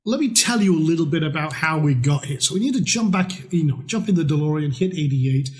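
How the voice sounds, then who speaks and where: Middle-aged Scottish man pitching a trading course, blunt and chatty, bright higher voice. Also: a little fast. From Scotland. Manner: lively, blunt and casual, with mild swearing. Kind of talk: promotional pitch talk.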